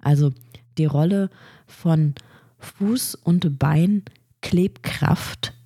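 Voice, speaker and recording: A woman with a cold chats eagerly through nerdy facts on her own podcast, her blocked nose coloring every word. Her voice sits low, dark and husky. The recording is close and dry, with no room around her. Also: very slow, no room sound. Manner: chatty, enthusiastic about nerdy facts. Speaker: podcast host. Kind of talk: casual solo science podcast.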